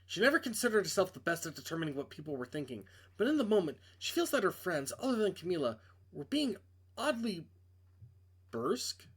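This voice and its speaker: A man's voice: sits high, sing-song, a little fast, breathy edge. A man reading a visual novel aloud as dramatic narration, with casual asides when he stumbles and corrects himself.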